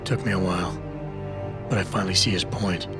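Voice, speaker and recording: Actor as a brooding low-voiced cyberpunk hero. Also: male voice, fairly even intonation, brisk, natural voice. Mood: grave, reflective. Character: grim, weary cyberpunk action hero, adult man. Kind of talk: cinematic video game voice acting. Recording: loud music behind the voice.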